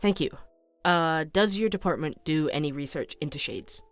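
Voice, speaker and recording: A man's voice: fairly high pitch, moderate pace, a bit bright, clean tone. A man playing a curious, earnest investigative podcaster in an audio drama, with a polite, questioning way of talking and music behind him. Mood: polite, careful. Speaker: podcast host investigating a case. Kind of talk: audio fiction dialogue.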